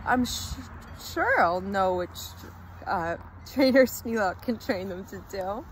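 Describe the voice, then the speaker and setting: A woman's voice: mid-range voice, expressive, takes it slowly, a little hiss or hum, rough recording. A woman reading a rhyming children's story aloud with theatrical, dramatic emphasis.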